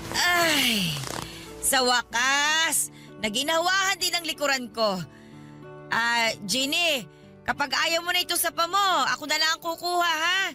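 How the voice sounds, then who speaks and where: A woman's voice: high voice, a little slow, pushed and bright, faint music behind. A woman voicing a demanding, wheedling older Filipino godmother in a radio drama, complaining and coaxing as she pushes for favours. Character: demanding, wheedling older godmother who overstays her welcome.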